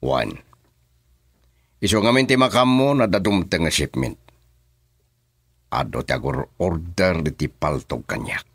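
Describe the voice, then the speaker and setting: An actor playing a gruff, guarded businessman boss who deals in shady trade, in a radio drama. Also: low-pitched, lively intonation, unhurried, pauses often, a bit bright, no echo, studio quality, full character voice. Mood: brooding. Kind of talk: Ilocano radio drama acting.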